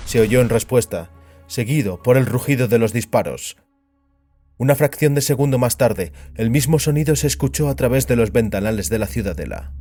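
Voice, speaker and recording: Un hombre que narra un relato dramático con guion, como narrador de audioficción. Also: male voice, medium pitch, lively intonation, normal speed, warm tone, soft background music. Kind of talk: scripted dramatic story narration.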